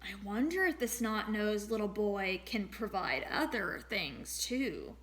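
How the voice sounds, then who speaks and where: A woman's voice: mid-range voice, big pitch swings, a little slow, a bit bright. A woman reading aloud as a poor storybook flower seller, a wishful man growing greedy. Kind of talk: storybook read-aloud character voice.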